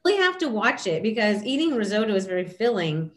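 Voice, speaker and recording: A middle-aged woman hosting a casual video call chat, talking in a chatty, animated way with lots of asides. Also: mid-range voice, fast. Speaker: host. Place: home room indoors. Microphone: video call, webcam.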